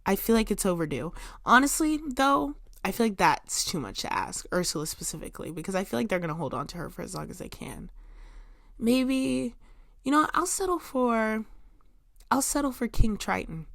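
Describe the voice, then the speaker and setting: A woman's voice: fairly high pitch, normal speed, vocal fry, no room sound, studio quality. Chatty young woman vlogging from home. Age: young adult. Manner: chatty and expressive, many "like" fillers, bursts of emphasis. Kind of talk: casual chatty vlog. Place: room in her home.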